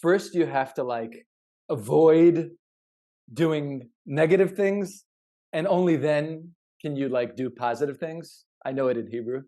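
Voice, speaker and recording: A man co-hosting a podcast in casual, thoughtful chat, halting and repeating words as he thinks. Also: on the high side, warm tone. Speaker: podcast co-host.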